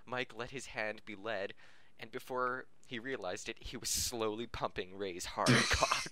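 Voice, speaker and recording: A man's voice: lowish voice, fairly even intonation, a little slow, pushed and bright. A man reading fan fiction aloud on a podcast, stumbling over words and correcting himself, often amused and breaking into laughter. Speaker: podcast reader.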